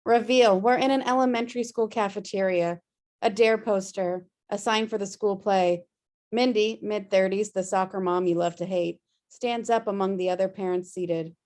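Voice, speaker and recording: A woman's voice: medium pitch, fairly even intonation, moderate pace, a little mellow. A woman hosting a table read as its writer, introducing it informally and reading out stage directions.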